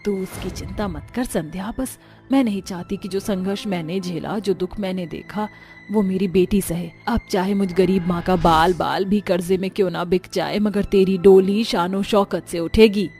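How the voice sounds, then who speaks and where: A woman's voice: mid-range voice, steady tone, moderate pace, warm tone, lightly acted. Female actor as suffering poor mother, plaintive, humble, emotional, storybook drama, background music. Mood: determined. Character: poor, long-suffering, pleading widowed mother. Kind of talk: audio storybook drama acting. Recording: music under the voice.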